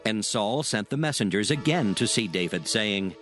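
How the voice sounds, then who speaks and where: A man's voice: fairly low pitch, animated, normal speed, a bit bright, faint music behind. A man narrating a dramatized scripture reading in solemn, formal archaic phrasing.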